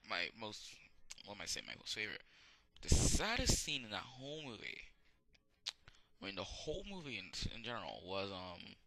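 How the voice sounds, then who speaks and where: A man's voice: lowish voice, sharp tone. A young man giving a rambling, casual movie review, full of fillers and restarts, with a sore throat. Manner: halting, many fillers and restarts. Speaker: amateur video reviewer.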